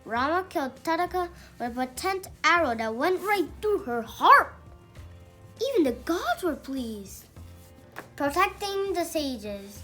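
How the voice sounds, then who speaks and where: A young child narrates a mythological tale with a very high, lively voice that swings up and down. The reading is slow and deliberate, with strong emphasis and the odd stumble, and music plays along with it. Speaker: young storyteller reading aloud. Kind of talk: read-aloud mythological storybook narration.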